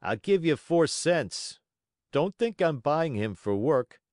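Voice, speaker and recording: A man's voice: a little high, sing-song, slow pace, darkish tone, no room sound, clean studio sound, voice pushed up. A man voicing a blunt, stingy village musician haggling at market in a storybook, brusque and dismissive.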